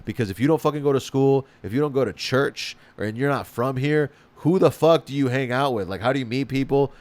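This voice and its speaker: Rambling, swearing podcast host, dry sound. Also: male voice, medium pitch, a little fast, darkish tone, dry recording. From Spain. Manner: rambling, profane, many restarts. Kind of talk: casual podcast chat.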